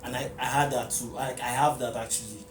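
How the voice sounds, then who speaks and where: A man's voice: medium pitch, fairly even intonation, brisk. Candid young man, distant. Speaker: interviewee. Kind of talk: reflective interview answers. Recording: roomy echo, distant mic, music behind.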